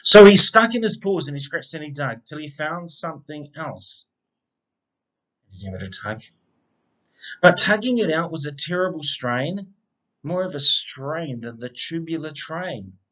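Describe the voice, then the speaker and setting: A middle-aged man reads a children's story aloud. His delivery is expressive and unhurried, with animated pitch, and the recording is slightly dull and lo-fi. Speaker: storybook reader, educator. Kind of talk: children's read-aloud of rhyming picture book. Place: indoor room. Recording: slightly dull, lo-fi webcam sound.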